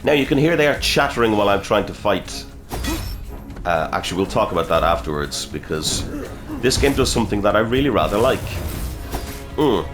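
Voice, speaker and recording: Brief: low-voiced male game host, a bit sniffly from a cold, casual and swearing, faint game music underneath. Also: lively intonation, a little fast. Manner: chatty, swears, reacts aloud while fighting. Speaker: gaming video host. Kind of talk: casual gameplay commentary.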